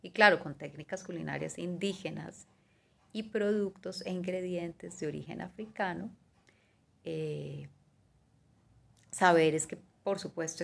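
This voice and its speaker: A woman in her thirties speaks in a lowish voice with a gravelly fry, giving a measured, partly read talk. She works through long lists item by item and fills her pauses with short hesitations. She is heard over a webcam from a small room. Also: fairly bright tone. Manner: measured, listing items, with fillers like "eh" and "digamos". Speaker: guest speaker on pastry and cuisine. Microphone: video call webcam.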